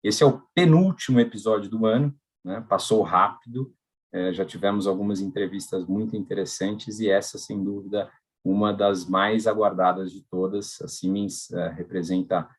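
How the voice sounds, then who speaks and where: A man's voice: fairly low pitch, steady tone, normal speed, slightly rough. A man with a Brazilian Portuguese accent hosting a live podcast, welcoming and chatty. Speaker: podcast host.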